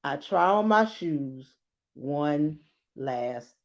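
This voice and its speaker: A woman reading a storybook aloud like a teacher, adding expressive explanations and checking in with her listeners. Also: low-pitched, very slow. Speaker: children's book read-aloud teacher.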